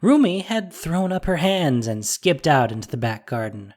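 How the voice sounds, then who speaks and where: A narrator reading a scripted fantasy audio drama in a measured, dramatic, descriptive way. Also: medium pitch, sing-song, darkish tone.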